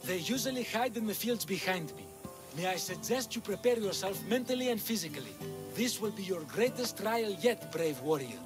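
An exasperated, high-voiced father. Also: lively intonation, normal speed. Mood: grand. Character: harried middle-aged father of three unruly sons. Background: game music.

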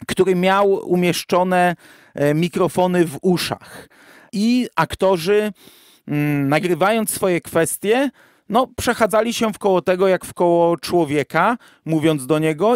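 Podcast host: man, voice a little above average pitch, casual explainer, very dry sound. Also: darkish tone, no room sound.

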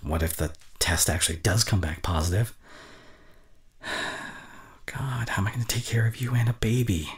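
A young man playing a caring, anxious but reassuring boyfriend in an intimate ASMR audio roleplay, speaking in a tender, halting way with a breathy voice that often drops into whispers. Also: lowish voice, no room sound. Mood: scared, lost.

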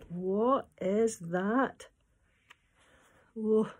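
A Scottish woman in her fifties talking and reading to young children at storytime, expressive, in simple phrases with questions to the listeners. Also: medium pitch. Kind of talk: storytime talk and poem reading for small children. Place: small room at home, indoors. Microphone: phone or tablet at arm's length.

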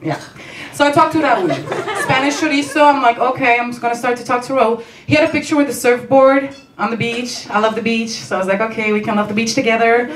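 High-voiced Belgian woman doing stand-up, roomy club. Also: steady tone, fast, hardly any pauses. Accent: non-native English, Belgian. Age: young adult. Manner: playful, performative, acts out dialogue. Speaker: stand-up comedian. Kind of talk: stand-up comedy storytelling. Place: small comedy club stage, indoors. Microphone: handheld stage mic, recorded by distant camera. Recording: roomy, distant, noisy club recording.

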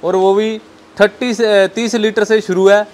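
Man, high pitch, agreeable cattle dealer, casual interview, noticeable ambient noise. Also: flat delivery, a little slow, darkish tone. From northern India. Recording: clear background noise, farm ambience.

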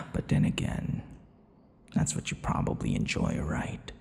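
A man playing a possessive, teasing vampire lover in an ASMR audio roleplay, smug and menacing, murmuring and slipping into breathy whispers. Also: wildly swooping pitch, a little mellow, big-room sound, full character voice. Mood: taunting. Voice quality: low, gravelly. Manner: slow, breathy murmurs dropping into whispers, mocking endearments.

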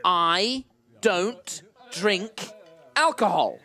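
A meek, polite space crewman played by a man in a comic radio play. His voice is bright and sharp, with very lively swings in pitch. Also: extremely slow, creaky, exaggerated voice. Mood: meek, protesting. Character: meek, sober, polite space crew member. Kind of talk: live radio play read-through.

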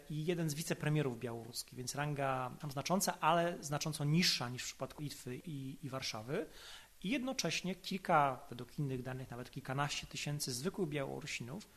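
A man speaking as an expert commentator in an analytical podcast interview, explaining in an articulate, measured way. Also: on the high side, fairly bright tone.

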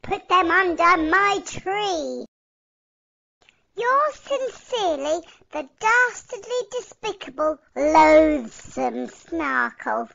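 A woman voicing a greedy, gloating storybook villain in a theatrical, menacing, drawn-out delivery. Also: extremely high pitch, very animated, vocal fry, pitched above the performer's own voice, exaggerated voice. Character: dastardly, gloating, greedy villain writing a ransom note. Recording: dull sound under loud music.

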